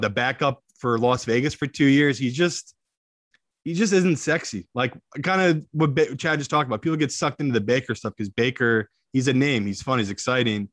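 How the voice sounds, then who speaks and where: A man's voice: medium pitch, a bit bright, no room sound, studio quality. A man co-hosting a betting show as an analyst, chatting casually in a blunt, opinionated way with emphatic repetition. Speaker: sports betting analyst, podcast co-host. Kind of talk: casual sports betting chat.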